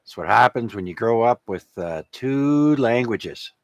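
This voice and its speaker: A man's voice: medium pitch, expressive, a little slow, no room sound, studio quality. A man, a native French speaker from Canada, hosting an amateur radio net in a casual, rambling, hesitant way.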